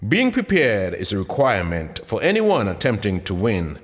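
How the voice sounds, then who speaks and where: Guy reading a motivational audiobook, really animated pitch, deliberate and punchy, big hall reverb on it. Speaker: author reading own audiobook. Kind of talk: scripted motivational audiobook narration.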